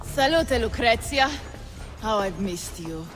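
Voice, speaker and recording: High-voiced, bold Italian noblewoman. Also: animated, slow pace. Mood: mocking. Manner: sardonic, fiery, commanding. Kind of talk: dramatic video game acting. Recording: loud music over the voice.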